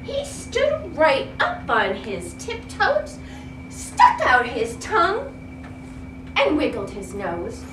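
Older female storyteller: theatrical, high-pitched, very unhurried, classroom read-aloud from a distance. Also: huge pitch swings. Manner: theatrical, emphatic. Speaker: retired reading teacher. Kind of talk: expressive children's read-aloud. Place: school classroom. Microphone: distant camera. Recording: roomy, noisy classroom, distant mic.

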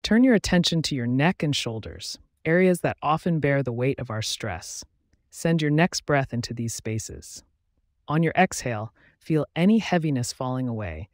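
A man guiding a body scan meditation, reading a script in a slow, soothing, even way with pauses. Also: medium pitch, no room sound, clean studio sound. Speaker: meditation guide.

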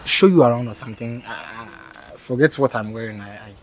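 A man's voice: mid-range voice, fast talker, a little hiss or hum, lightly acted, low-quality recording. An actor playing a polite, awkward young man who stammers and speaks apologetically. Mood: pleading. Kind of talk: scripted skit acting.

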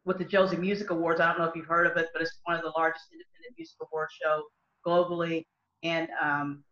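A woman's voice: sits low, animated, quick pace, a bit bright. A middle-aged woman, a country singer, talking earnestly on a video call from home, with many restarts. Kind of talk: casual video call discussion. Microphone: laptop webcam mic on a video call. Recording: dull lo-fi video call audio.

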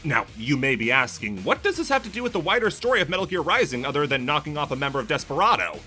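A man's voice: on the high side, sing-song, quick pace, over music. A man narrating a scripted comedic video game review, full of sarcastic jokey asides.

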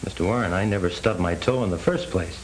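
Brief: male actor as proud, earnest young American ex-con, low voice, 1930s crime film, light hiss. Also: quick pace, lightly acted, rough recording. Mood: earnest, restrained. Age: young adult. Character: wronged young ex-convict, earnest, proud former football player. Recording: hissy 1930s film soundtrack.

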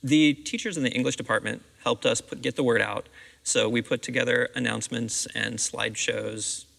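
Gravelly, bright-voiced American man, reverberant hall. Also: mid-range voice, fairly even intonation, moderate pace. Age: middle-aged. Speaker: school theatre director being interviewed. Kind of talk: conversational interview answers. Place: seated on the stage of a theatre auditorium. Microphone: clip-on lapel microphone. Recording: reverberant empty auditorium.

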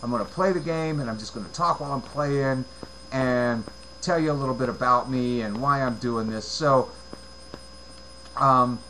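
A man in his late forties from the United States, talking through a video game in a rambling, halting way, with many fillers and repeated words, on a headset mic in a room at home. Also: mid-range voice, sharp tone, slight background noise, low-quality recording. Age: 40s. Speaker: let's play gamer. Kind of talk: casual gameplay commentary.

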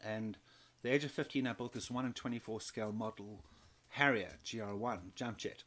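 A man's voice: fairly low pitch, fairly even intonation, normal speed, fairly bright tone. A man in his fifties from South Africa, telling his story as an interview guest, with many restarts and repeated words. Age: 50s. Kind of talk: conversational interview.

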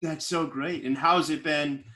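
Bright-toned man hosting an interview. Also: on the high side, fairly even intonation, a little slow. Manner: encouraging, frequent short affirmations. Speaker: podcast host. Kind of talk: casual interview chat. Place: Williamsburg apartment.

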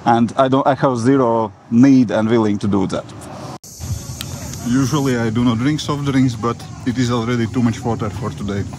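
A man in his forties with a Latvian accent, answering questions casually in a matter-of-fact way with halting non-native phrasing. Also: medium pitch. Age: 40s. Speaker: vlogger and iOS developer answering viewer questions. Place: various travel locations, mostly outdoors, some stone halls and a cafe. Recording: clear ambient noise on location.

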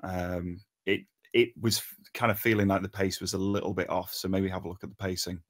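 A man with a fairly low voice gives an informal critique. He talks haltingly, feeling for his words with plenty of ums and pauses. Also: little pitch movement. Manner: hesitant, halting, frequent long pauses and ums. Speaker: table read participant giving script feedback. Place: remote online voice call.

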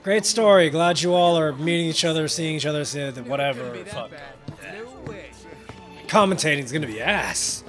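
A man's voice: fairly high pitch, normal speed. A young man giving casual gameplay commentary, tired and sarcastic, swearing a lot. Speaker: game streamer. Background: game music.

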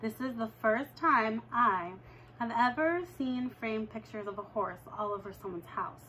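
Woman reading a kids book at home, expressive, pitch jumps up for dialogue, close camera mic. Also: measured pace, slight background noise, poor audio. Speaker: reader of a children's novel. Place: living room at home, indoors.

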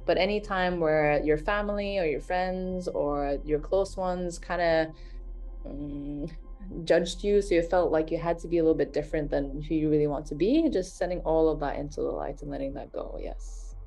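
Soft-spoken woman guiding a healing session. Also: sits low, soft background music, low-quality recording. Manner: slow, soothing, repetitive guidance with soft murmured affirmations. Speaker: healing guide.

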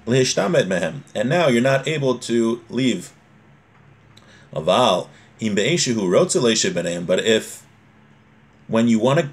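A man in his forties teaching an informal religious lesson, reading aloud and explaining, often repeating and rephrasing. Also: mid-range voice. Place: small home room, indoors.

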